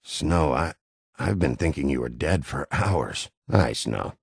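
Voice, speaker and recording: An actor voicing a gruff, hot-tempered wolf detective in a video game, rough voice, blunt and threatening. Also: male voice, rumbling low, animated, slow, no echo, clean studio sound, exaggerated voice. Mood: weary, guarded. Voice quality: gravelly, rough voice. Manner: blunt, threatening interrogator, curses freely.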